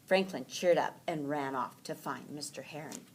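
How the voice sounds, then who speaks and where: A woman reading a children's story aloud in an animated, deliberate storytelling manner. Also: low-pitched, a little hiss or hum.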